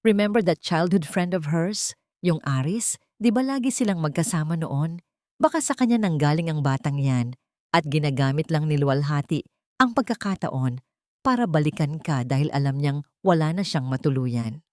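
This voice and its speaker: A woman's voice: low-pitched, animated, moderate pace, a little mellow, dry recording, studio quality. A woman voicing a cold, insinuating and jealous female rival in an audio melodrama.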